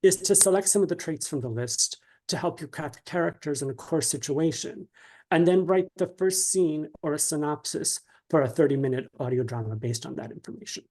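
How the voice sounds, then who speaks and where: Male interview guest, slightly higher register, careful and halting explainer, informal teaching tone. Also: animated, darkish tone. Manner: hesitant, halting, measured explaining. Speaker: guest writer being interviewed. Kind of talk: informal instructional interview talk.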